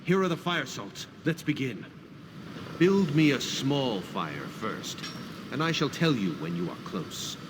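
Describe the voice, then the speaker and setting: An actor playing a hearty, devout warrior smith who gives formal, emphatic encouragement in a video game. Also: male voice, medium pitch, very animated, measured pace. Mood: solemn, welcoming. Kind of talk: video game character dialogue. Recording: clear background noise of a game scene.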